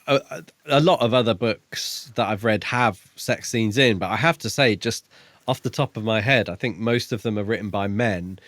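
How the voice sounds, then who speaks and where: A man with a fairly low voice talks casually as a podcast co-host about books. He feels his way through his points with some hedging. The sound is dry and close, with little room on it. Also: normal speed, fairly bright tone, no room sound. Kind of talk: casual book discussion.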